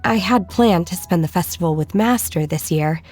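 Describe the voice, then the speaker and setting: A woman voicing an aloof, earnest young disciple in a video game, formal and measured, naive about people. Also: on the low side, a little mellow, faint music behind, natural voice. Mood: calm, matter-of-fact. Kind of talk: video game character acting.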